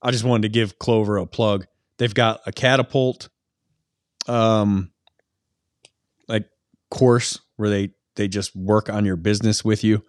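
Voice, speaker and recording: A man's voice: fairly low pitch, flat delivery, unhurried, long pauses, dry recording, clean studio sound. A man co-hosting a podcast, talking loosely with many fillers and restarts, laughing easily. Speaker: podcast co-host. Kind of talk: casual podcast chat.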